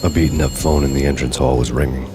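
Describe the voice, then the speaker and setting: An American actor playing a world-weary wanted cop, narrating in a brooding, deadpan hardboiled noir style. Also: male voice, a bass voice, fast talker. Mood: grim, brooding. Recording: music behind the voice.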